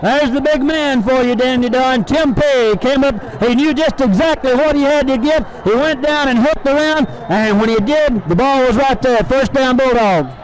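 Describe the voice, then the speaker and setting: A man's voice: very high voice, normal speed, few pauses, low-quality recording. A man gives folksy, conversational football analysis as color commentator on a 1970s radio broadcast. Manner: folksy, conversational, addresses his partner by name.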